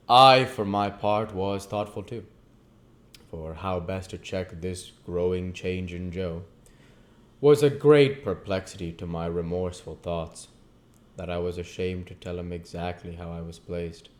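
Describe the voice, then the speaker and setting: A man reading a classic novel aloud as narrator and host of his own channel. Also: sits low.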